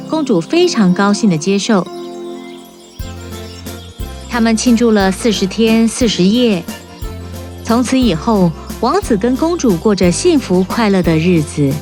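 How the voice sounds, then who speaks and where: A woman narrating a children's fairy-tale cartoon in a calm, even storytelling manner. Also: medium pitch, unhurried, music in the background.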